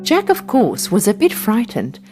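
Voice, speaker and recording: A woman's voice: a little high, wildly swooping pitch, measured pace, dark tone, soft background music. A woman narrating a scripted children's story for English learners.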